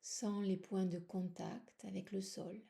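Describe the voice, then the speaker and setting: A woman guiding a relaxation meditation in a soothing, unhurried manner, with short phrases, long pauses and a soft voice that at times slips toward a whisper. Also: medium pitch, fairly even intonation, vocal fry. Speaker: yoga nidra guide.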